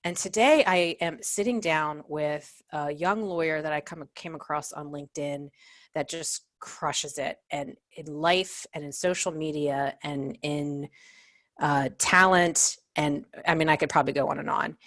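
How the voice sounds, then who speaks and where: Effusive low-voiced woman hosting a podcast. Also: measured pace, fairly bright tone, no echo, clean studio sound. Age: 40s. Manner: effusive, emphatic. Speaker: podcast host. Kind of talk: chatty podcast introduction and interview.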